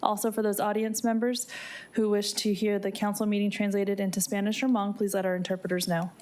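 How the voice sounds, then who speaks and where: A woman's voice: mid-range voice, little pitch movement, brisk, a little mellow. A woman working as a city clerk, reading formal scripted announcements in an official, procedural way. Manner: procedural, official read-aloud delivery.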